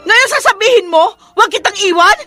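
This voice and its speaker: A woman's voice: very high-pitched, expressive, fairly quick, music in the background. An actress playing a long-suffering, fed-up woman in a melodramatic radio drama. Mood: bitter, anguished.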